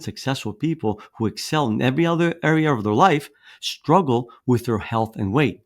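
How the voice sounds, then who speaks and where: A man in his fifties, raised in Greece, giving a persuasive motivational presentation on fitness with a storytelling manner. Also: mid-range voice. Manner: persuasive, storytelling, with occasional restarts.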